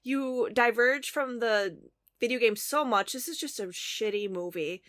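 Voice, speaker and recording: A woman's voice: fairly high pitch, measured pace, fairly bright tone, no room sound, clean studio sound. A young woman co-hosting a podcast, rambling and laughing as she talks. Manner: rambling, laughs often, restarts. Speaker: podcast co-host. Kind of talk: casual podcast chat.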